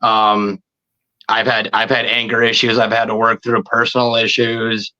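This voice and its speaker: Man with a forceful, bright voice, halting. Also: on the low side, monotone, muffled recording. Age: 30s. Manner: halting, repeats words and phrases. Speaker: podcast guest, video creator. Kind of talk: casual interview chat.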